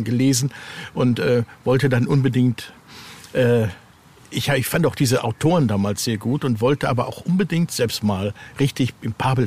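A middle-aged or older man giving conversational, halting interview answers as a horror author. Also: medium pitch, darkish tone. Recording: clear ambient background noise.

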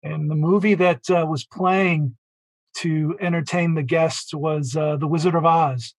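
A man with a slightly raised pitch talks as an interview guest. His answers run long and detailed, with the odd "uh" along the way. The sound is dry and close, with no room around it. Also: steady tone, measured pace, no room sound. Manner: fluent, detailed storytelling with occasional fillers. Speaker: author and marketing executive, guest.

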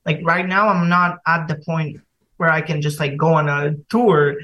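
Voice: medium pitch, fairly even intonation, moderate pace, muffled recording. A young singer from Bulgaria with a Bulgarian accent, talking casually as a podcast guest and hesitating with many fillers and restarts.

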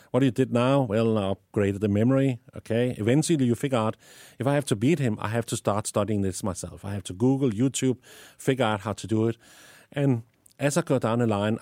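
A man's voice: fairly low pitch, darkish tone, no room sound, clean studio sound. A man from Denmark with a Danish accent, answering interview questions about teaching, often repeating words as he thinks. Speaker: guest, STEM educator.

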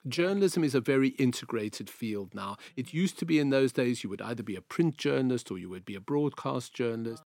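A man in his sixties from South Africa with a South African accent, telling his career story as a guest in an interview, in a measured, anecdotal way. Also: medium pitch, expressive, darkish tone, no echo. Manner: measured, anecdotal, repeats phrases. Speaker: guest, veteran journalist.

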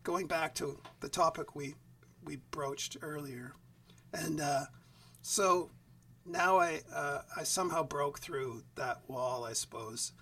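A man talking as a guest musician in a relaxed interview over a video call, in a quiet, even speaking voice. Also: fairly high pitch, slow, distant mic. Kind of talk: casual interview chat. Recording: voice set back from the mic.

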